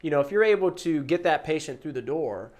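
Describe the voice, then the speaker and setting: A man's voice: on the high side, animated, a little fast, a little mellow. A man presenting a promotional explainer as a trainer, talking in an emphatic, conversational way and posing rhetorical questions. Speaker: host and practice-management trainer pitching a service. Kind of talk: promotional explainer to camera or listener.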